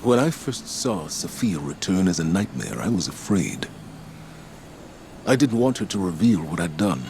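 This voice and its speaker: An actor playing a weary, grieving middle-aged guardian commander in a video game, speaking in a grave, measured, reflective way. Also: male voice, low-pitched, sing-song, vocal fry, a little mellow, slight background noise, exaggerated voice. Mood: somber reflection. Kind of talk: dramatic video game voice acting. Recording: dramatic music behind the voice.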